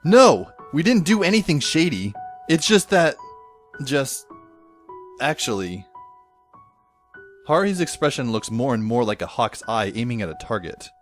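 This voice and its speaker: Man playing wry schoolboy narrator, lively pitch. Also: a little slow, long pauses. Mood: evasive. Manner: sardonic, wordy, dry inner monologue. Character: wry, exasperated teenage schoolboy narrating his own story. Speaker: narrator and lead character. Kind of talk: amateur audio drama narration and acting. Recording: faint music behind the voice. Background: faint music.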